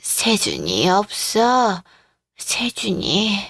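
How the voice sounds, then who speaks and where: A woman's voice: medium pitch, wildly swooping pitch, drawn-out words, gravelly, a bit bright, slightly rough, no room sound, exaggerated voice. A woman playing a frail, confused elderly mother-in-law, tender and longing, in a radio-drama style story.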